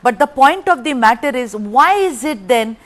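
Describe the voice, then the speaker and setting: A woman's voice: high-pitched, animated, normal speed. Probing news anchor woman. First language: Tamil. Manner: probing, pressing questions. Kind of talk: live TV interview.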